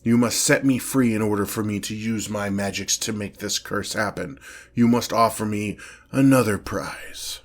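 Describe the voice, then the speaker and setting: A man's voice: fairly low pitch, close to an everyday voice. A man voicing a haughty, exasperated demon lord in a fantasy audio roleplay, speaking formally and pompously. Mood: grudging. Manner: pompous, formal, slow deliberate phrasing with irritated sighs. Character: haughty, exasperated male demon lord, grandiose but petty. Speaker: character performer in roleplay audio. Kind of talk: scripted ASMR fantasy roleplay, one-sided dialogue.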